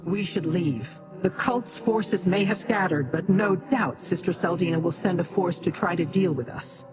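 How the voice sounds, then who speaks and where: A game actor voicing a haughty, imperious demonic being who talks down to mortals in formal, measured speech. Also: mid-range voice, natural voice. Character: haughty, imperious Daedra ally, scornful of mortals. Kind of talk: scripted video game dialogue. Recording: music behind the dialogue.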